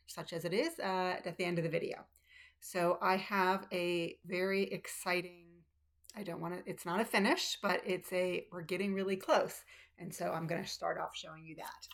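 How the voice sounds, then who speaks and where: Chatty woman with a gravelly low voice. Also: fairly bright tone. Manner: chatty, rambling, with frequent ums and restarts. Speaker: cross stitch vlogger. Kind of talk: casual chat to camera. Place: room at home. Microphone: tablet's built-in mic, no external microphone.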